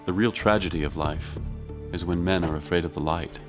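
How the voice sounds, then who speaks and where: A male actor playing a grave criminal profiler, reciting quotations in a measured, gravelly voice-over for a TV drama. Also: low-pitched, close to an everyday voice. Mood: solemn. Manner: measured, solemn. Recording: music under the voice.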